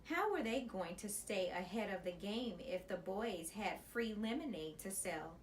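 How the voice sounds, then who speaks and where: Female storyteller for children's chapter book, expressive teacherly read, mid pitch, slight background noise. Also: unhurried. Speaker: elementary school teacher reading aloud.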